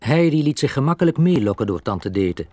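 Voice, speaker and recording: A man's voice: mid-range voice, sing-song, a little fast, dark tone. A man narrating a scripted children's story as a storyteller.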